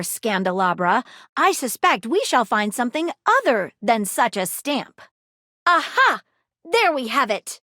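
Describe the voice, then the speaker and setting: An actress voicing a haughty, sharp-witted detective in a video game, speaking in precise, formal, pompous phrases. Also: female voice, sits high, no echo, clean studio sound, exaggerated voice. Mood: triumphant, stern. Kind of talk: video game character dialogue.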